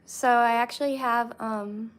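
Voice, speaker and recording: A young woman chatting casually with her viewers, relaxed and reading comments aloud. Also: on the high side, a little slow. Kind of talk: casual chat with live chat.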